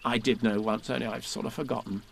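A man's voice: medium pitch, level tone, fast, gravelly, slight background noise. An earnest young boy, read by a man in a storybook. The voice stays close to the reader's own, only slightly lifted. He is a touch hesitant, with an air of earnest purpose.

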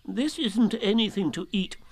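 A man's voice: sits high, lively intonation, moderate pace, no room sound, pitched above the performer's own voice. A man voicing a fussy, self-important old male owl in a storybook reading, with a pompous, chuckling delivery.

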